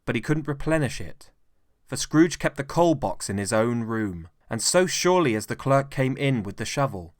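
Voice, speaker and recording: A man's voice: mid-range voice, lively intonation, normal speed, no room sound, clean studio sound. A man with a British accent giving a theatrical, carefully phrased dramatic narration of classic fiction. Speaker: narrator, actor.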